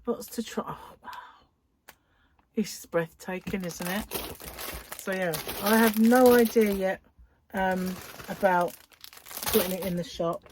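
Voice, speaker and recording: A woman chatting casually to followers as a craft vlogger during an unboxing, gushing and admiring with breathy asides. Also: medium pitch, slow, long pauses. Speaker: craft vlogger and yarn shop owner. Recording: clear background noise with crinkling wrappers. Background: crinkling cellophane, clicks and rustling.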